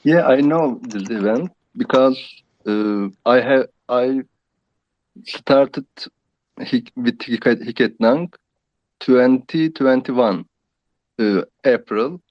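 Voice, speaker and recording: A man with a Turkish accent, a native Turkish speaker, talking haltingly in English on dull, dry call audio. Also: mid-range voice, no room sound.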